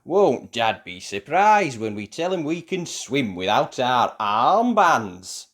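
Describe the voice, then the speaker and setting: A storybook reader voicing an eager, boastful little boy who exclaims loudly and proudly. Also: mid-range voice, big pitch swings, slow. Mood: excited.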